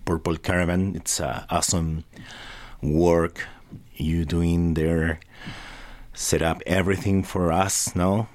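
A very deep-voiced man with a Chilean Spanish accent chats as a guest musician. His English is halting, and he often repeats words while searching for them. He laughs in a friendly way. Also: level tone, a little mellow. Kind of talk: casual radio interview.

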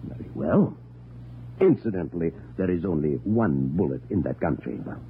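A cold scheming usurper. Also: male voice, sits low, sing-song, normal speed. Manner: cold, precise, menacing. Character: scheming male usurper Krennic, cold and calculating. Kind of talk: 1940s radio acting. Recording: tinny old radio broadcast with hiss.

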